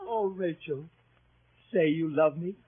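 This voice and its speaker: A pompously ardent gentleman suitor in an old radio drama. The voice is fairly high and husky, theatrical in its wooing, with a narrow vintage broadcast sound. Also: slow pace. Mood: impassioned. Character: florid, lovestruck gentleman suitor wooing a spinster. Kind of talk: 1930s radio acting.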